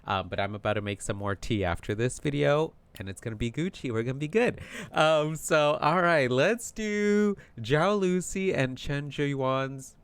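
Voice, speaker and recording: A man's voice: mid-range voice, clean tone. Chatty man with a tired voice. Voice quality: animated, chatty, many fillers. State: tired voice from yelling. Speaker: tarot reader. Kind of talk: casual tarot reading monologue.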